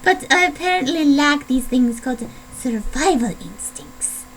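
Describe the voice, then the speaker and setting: A woman's voice: sits high, lively intonation, a little slow, a little hiss or hum, low-quality recording. A young adult woman vlogging in a put-on Italian accent, rambling playfully with a self-deprecating manner. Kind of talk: casual rambling video diary.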